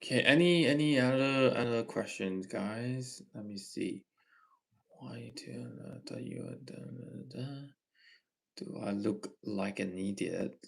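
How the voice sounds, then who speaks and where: A young man from Malaysia hosting a casual livestream, reading viewer comments and giving earnest advice in a slow, halting way. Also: medium pitch, sing-song, gravelly. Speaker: livestream host.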